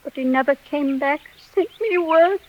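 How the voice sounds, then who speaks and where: An actress plays a proud, heartsick young servant girl from an old English radio drama. Her voice is high and plaintive, full of emotion. The 1940s recording sounds muffled. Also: moderate pace, close to an everyday voice. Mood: despairing, hurt.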